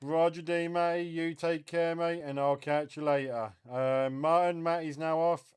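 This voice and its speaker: A man's voice: on the high side, steady tone, normal speed, background noise. A man chatting casually as a CB radio operator.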